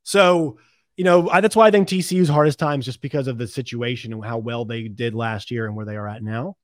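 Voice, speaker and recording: A man's voice: mid-range voice, fairly quick, no echo, studio quality. A man hosting a sports podcast, giving unscripted commentary in a conversational way, thinking aloud and correcting himself as he goes.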